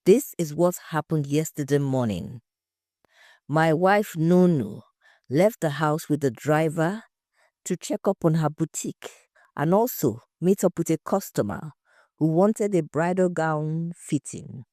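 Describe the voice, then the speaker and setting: A woman's voice: low voice, lively intonation, a little slow, mellow, dry recording, clean studio sound. A woman voicing an anxious, indignant husband accused of murder in an audio story, emphatic and defensive. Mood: pleading, earnest.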